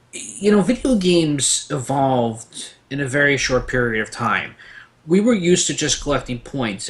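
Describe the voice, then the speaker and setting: A man's voice: mid-range voice, fairly bright tone. A man in a casual gaming discussion, giving opinions in a rambling, storytelling way as a panelist.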